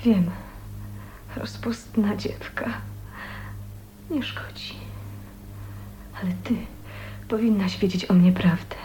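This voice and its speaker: An actress in a radio drama playing a gentle, dying young woman who confides hesitantly and earnestly. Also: mid-range voice, fairly even intonation, takes it slowly, long pauses, whispered, close to an everyday voice. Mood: earnest, fragile. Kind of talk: 1980s radio drama acting. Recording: faint noise on radio recording.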